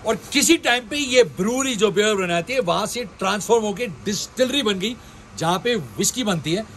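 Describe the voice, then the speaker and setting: A man's voice: high-pitched, expressive, moderate pace, a bit bright, a little hiss or hum. A man hosting a travel show, chatting casually and joking while he shows viewers around a brewery. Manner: playful, jokey interviewer. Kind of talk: casual travel vlog chat. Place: brewery, indoors and outdoors.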